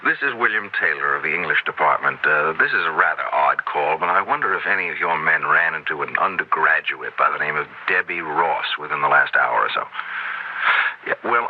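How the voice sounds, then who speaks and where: An actor playing a skeptical, rational English professor in a 1970s radio drama, reasoning in a conversational, hesitant way. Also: male voice, very deep voice. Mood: polite, concerned. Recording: muffled, noisy old radio broadcast.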